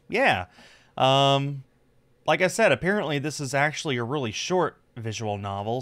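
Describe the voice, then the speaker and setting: A man streams a game with a very lively, swinging pitch. He sounds casual and playful, moving between chatting to viewers and reading the on-screen text aloud.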